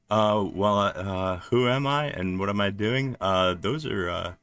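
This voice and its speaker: A man from New Jersey with a deep voice, chatting casually and haltingly on a live stream while he works at his computer, with lots of fillers. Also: fairly bright tone, no room sound. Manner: rambling, halting, many fillers, laughs often. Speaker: livestreaming developer.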